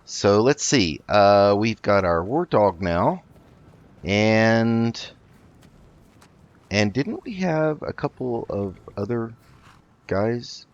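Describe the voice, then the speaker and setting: A man hosting a roleplay gaming video, improvising in character with a polite, halting delivery. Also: on the low side, lively intonation, lo-fi sound. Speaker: gaming host.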